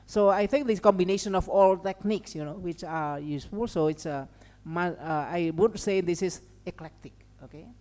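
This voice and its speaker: Echoey Indonesian-accented woman lecturing, low-pitched. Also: normal speed, mellow. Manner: conversational, repeats check words like okay and right. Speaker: seminar speaker and language educator. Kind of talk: academic lecture with informal asides. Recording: long hall reverb.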